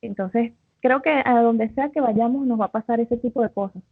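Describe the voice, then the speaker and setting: A woman's voice: fairly high pitch, normal speed, slightly rough, dry recording. A woman co-hosting who tells a personal anecdote in a chatty, conversational way. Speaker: co-host. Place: living in Peru. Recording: tinny phone-like call line.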